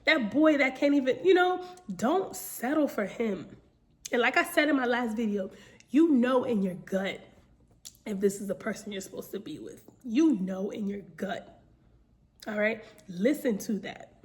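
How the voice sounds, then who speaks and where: A woman's voice: a little high, lively intonation, unhurried. A young adult woman giving an informal, earnest motivational talk, addressing listeners directly with repeated phrases and rhetorical questions. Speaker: motivational speaker.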